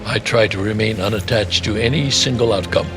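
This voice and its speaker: An actor playing an old martial arts master in a video game, stern and serene, speaking formally with dry, measured delivery. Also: male voice, lowish voice, music in the background, close to an everyday voice. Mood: stern, detached. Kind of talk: video game cutscene voice acting.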